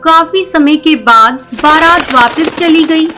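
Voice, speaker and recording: A woman's voice: sits high, slow pace, pushed and bright. A woman storyteller delivering scripted, dramatic narration for a story. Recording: clearly noisy background.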